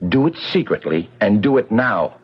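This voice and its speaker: Radio drama: blunt protector, lowish husky voice, direct delivery, lo-fi 1970s sound. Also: male voice, big pitch swings, moderate pace. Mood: firm, frank. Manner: firm, direct. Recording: dull lo-fi 1975 radio broadcast.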